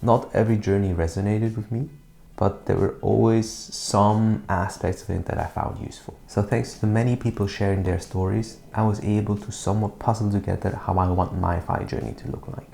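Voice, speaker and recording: Low gravelly man narrating a personal vlog. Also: fairly even intonation, brisk, mellow, slight background noise. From Switzerland. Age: 30s. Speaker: YouTuber.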